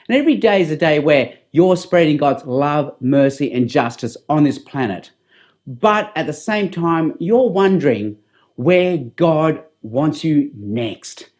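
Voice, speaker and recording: A middle-aged man with an Australian accent giving a personal testimony as a public speaker, in a deliberate, earnest way. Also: fairly high pitch, darkish tone. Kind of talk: testimony addressed to camera.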